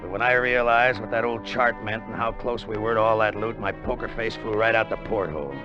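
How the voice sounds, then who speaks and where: A lowish-voiced, brash gambler and treasure hunter, acted by a man, talks in insistent tough-guy slang. It is hard-boiled 1950s radio acting, on a dull old recording with prominent music. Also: fairly even intonation, a little fast, close to an everyday voice. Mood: wistful, excited. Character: Danny Quinn, brash, pushy gambler and ex-sailor turned treasure hunter.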